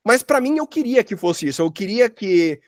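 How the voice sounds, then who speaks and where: A man's voice: sits high, expressive, normal speed, no echo, clean studio sound. A Brazilian man teaching an informal online sound design workshop, explaining examples in a casual, rambling, slangy way. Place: at home, online session.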